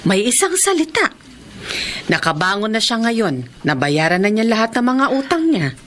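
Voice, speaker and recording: A woman playing a caring, pious, older Filipino mother who gently teases, in a radio drama. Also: a little high, sing-song, moderate pace, a little hiss or hum. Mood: warm, teasing.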